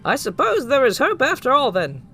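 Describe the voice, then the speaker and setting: An actor playing a timid, gentle toy lord, a jack-in-the-box, in a polite, hesitant, theatrical manner for an audio drama. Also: sits high, huge pitch swings, normal speed, faint music behind, exaggerated voice. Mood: gloomy, wary. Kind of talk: amateur audio drama acting.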